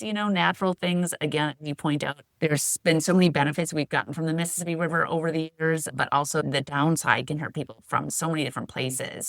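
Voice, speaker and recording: A middle-aged woman co-hosts a podcast conversation in a low, deep voice. She rambles a little and thinks aloud as she goes. The sound is close and dry, with no room around it. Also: gravelly, a bit bright, no echo. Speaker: podcast co-host. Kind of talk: conversational interview.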